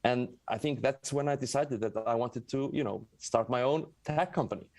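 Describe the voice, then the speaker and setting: Male founder as interview guest, Icelandic accent, mid pitch, rambling self-corrections, very dry recording. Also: normal speed, a little mellow, dry recording. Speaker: guest, tech company founder. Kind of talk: conversational interview storytelling.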